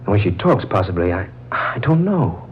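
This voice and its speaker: An actor playing a puzzled, earnest newcomer, speaking in a halting 1940s radio drama style. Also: male voice, on the low side, big pitch swings. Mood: musing, puzzled. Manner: halting, musing. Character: puzzled, earnest male newcomer, drawn to a woman. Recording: muffled, noisy old radio recording.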